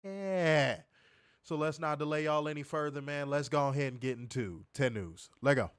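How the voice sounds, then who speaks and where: A man's voice: fairly high pitch, moderate pace, smooth. Stuffy-nosed man, blunt and profane. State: congested, stuffed up. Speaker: podcast co-host. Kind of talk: casual ranting wrestling review.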